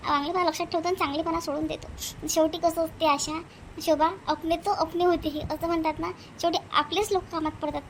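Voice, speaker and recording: Woman voicing a very high motherly sister-in-law. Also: a little hiss or hum. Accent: Varhadi Marathi. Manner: advising, repeats phrases for emphasis. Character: motherly elder sister-in-law, patient family peacemaker. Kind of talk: amateur cartoon family drama.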